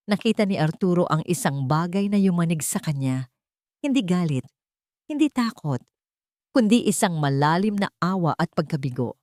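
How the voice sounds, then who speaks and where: A woman's voice: on the low side, darkish tone, no room sound, clean studio sound. A woman narrating a melodramatic story as a scripted, dramatic reading.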